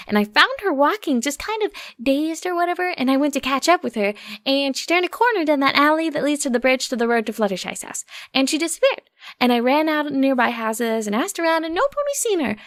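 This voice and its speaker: A woman acts out an anxious young mare from a storybook. Her voice is high and girlish, and she rattles on breathlessly in gossipy, run-on sentences. The sound is close and dry. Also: fairly bright tone, no echo. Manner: breathless run-on rambling full of "or whatever". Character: frantic, rambling young female pony, anxiously gossipy. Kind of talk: audiobook character voice.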